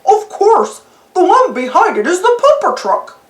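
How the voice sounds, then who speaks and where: A woman's voice: extremely high pitch, sing-song, a little slow, roomy sound, pitched above the performer's own voice, full character voice, low-quality recording. A woman voicing a goofy, boastful but good-hearted male cartoon dog, proud and eager. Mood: friendly, eager.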